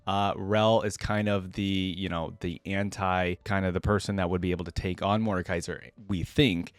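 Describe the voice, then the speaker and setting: A man's voice: sits low, level tone, moderate pace, no room sound. A man hosting a reaction video, talking casually and off the cuff in a conversational, rambling way that often checks for agreement.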